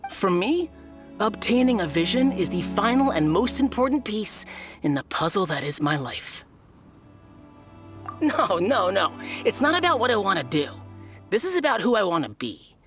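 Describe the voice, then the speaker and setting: A woman plays a smug, self-satisfied video game contestant who boasts about being perfect. Her voice rises and falls lively over soft game music. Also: medium pitch, moderate pace, close to an everyday voice.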